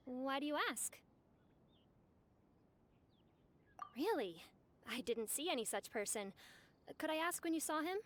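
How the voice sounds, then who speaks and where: A woman's voice: on the high side, a bit bright, a little hiss or hum. A woman playing a polite, cheerful young festival organizer in an anime game, with courteous and formal phrasing. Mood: puzzled, polite.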